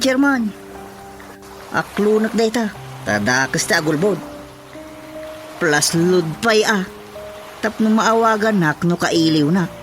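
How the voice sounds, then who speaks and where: A man's voice: high voice, a bit bright, exaggerated voice. A grumbling husband acted by a man complains about his wife in radio comedy drama style. Music plays prominently behind his voice.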